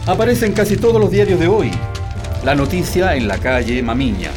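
Actor as brusque regime agent, swooping intonation. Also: male voice, fairly high pitch, animated, a little fast, natural voice. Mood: insistent. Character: cold male regime agent pushing official lies. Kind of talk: radio drama acting. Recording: loud music under the voice.

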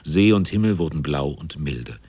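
A man narrating a literary radio drama as a measured storyteller. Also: rumbling low, animated, a little mellow, breathy edge, no room sound.

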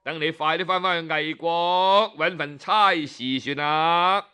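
A man voicing a smug, powerful male minister who drawls with slow mockery. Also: a little high, bright, forceful tone, smooth. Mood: sneering. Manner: drawling, mocking, sarcastic.

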